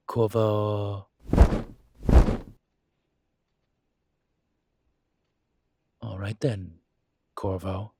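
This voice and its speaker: A man playing a weary, earnest incubus demon in a dramatic audio roleplay, pleading diplomatically in a measured, halting way with dry asides. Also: on the low side, a little mellow, no echo, exaggerated voice. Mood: firm, coaxing.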